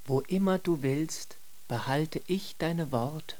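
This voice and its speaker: Obedient scribe for radio drama, played by an actor: solemn, slow, measured, medium pitch. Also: darkish tone, natural voice. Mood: solemn devotion. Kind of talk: German radio drama acting.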